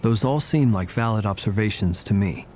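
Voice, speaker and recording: Man as a low-voiced courtly gentleman warrior. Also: moderate pace, a little hiss or hum, lightly acted. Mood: polite, guarded. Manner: polite, formal, understated. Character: courtly, secretive gentleman warrior, unruffled and dryly polite. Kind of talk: English game dub acting. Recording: music behind the voice.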